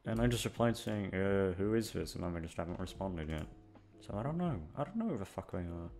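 Low-voiced young man rambling over music. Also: animated, brisk, darkish tone. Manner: self-deprecating, hesitant, sweary. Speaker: audio creator and voice actor. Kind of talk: rambling casual chat to listeners.

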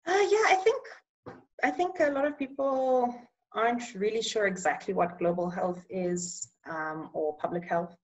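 A young woman from Eswatini whose first language is Bulgarian, working in public health, answering interview questions over a video call in a measured way, often pausing to find the right words. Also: medium pitch, rough recording.

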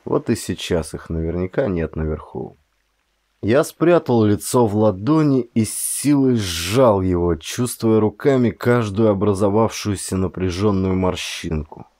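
A man narrating an audiobook in a slow, brooding first-person voice. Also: lowish voice, lively intonation, mellow, no room sound, studio quality.

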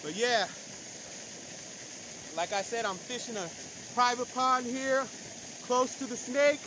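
A man's voice: very high voice, slow, pushed and bright. A man, vlogging his fishing outdoors on a phone held at arm's length, slangy and hyped, whooping after each catch. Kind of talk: casual outdoor vlog commentary. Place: outdoors by a pond and river. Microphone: phone camera at arm's length. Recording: noisy outdoor phone recording.

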